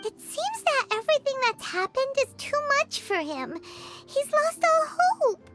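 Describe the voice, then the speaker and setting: A tiny chatty fairy companion from a video game, with a very high, childlike voice that swoops up and down. She speaks slowly and calls herself by name. Game music plays clearly underneath. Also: very animated, heavily acted. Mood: curious. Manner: childlike, refers to herself in third person.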